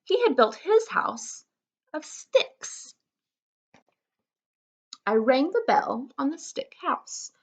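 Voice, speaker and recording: A woman's voice: high voice, sing-song, slow, pauses often, heavily acted. A woman voicing a chatty, self-justifying wolf telling his side of a storybook tale, with folksy, incredulous emphasis. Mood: self-justifying, conspiratorial. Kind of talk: animated children's storybook read-aloud.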